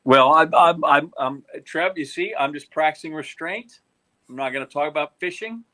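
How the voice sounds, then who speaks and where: An American man co-hosting a podcast with casual banter and quick questions, delivered in a dry, teasing manner. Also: a little high, sharp tone. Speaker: podcast co-host.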